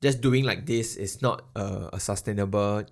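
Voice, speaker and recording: Brief: thirties male podcast co-host, slightly low voice, loose thinking-out-loud delivery. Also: moderate pace. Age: 30s. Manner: rambling, reflective, ends phrases with lah. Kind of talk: casual chat.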